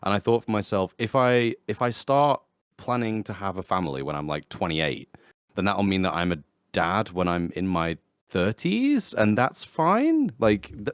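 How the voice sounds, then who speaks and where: Deep-voiced young man with lively, swinging intonation. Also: normal speed, clear, resonant tone, no room sound, studio quality. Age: 20s. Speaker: podcast guest. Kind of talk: casual podcast chat.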